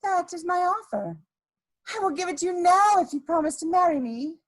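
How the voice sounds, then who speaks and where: A woman's voice: very high voice, lively intonation, normal speed. A woman playing a scheming, seductive society adventuress in a dramatic reading of a period comedy, with theatrical, coquettish emphasis. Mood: coaxing, romantic.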